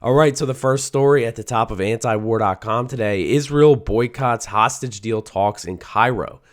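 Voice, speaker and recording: A man hosting a news show, talking through the day's stories in a conversational way with a hoarse, worn-out voice. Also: medium pitch, moderate pace. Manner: conversational, reads quotes aloud, some restarts. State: hoarse, voice a little shot. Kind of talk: solo news commentary.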